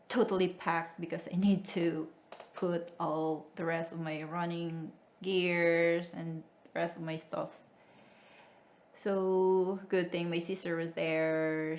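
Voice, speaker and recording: A woman from the Philippines, recounting her races in a documentary interview, halting with frequent fillers and restarts. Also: lowish voice. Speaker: amateur marathon runner. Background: music bed under the voice.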